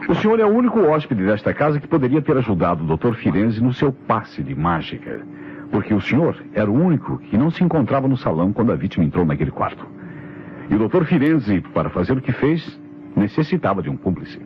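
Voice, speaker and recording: A Brazilian man playing a methodical, authoritative police inspector in an old radio mystery, explaining in a deliberate, declamatory way. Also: on the low side, big pitch swings. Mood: assured, expository. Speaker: radio drama actor. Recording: dull, noisy vintage radio recording.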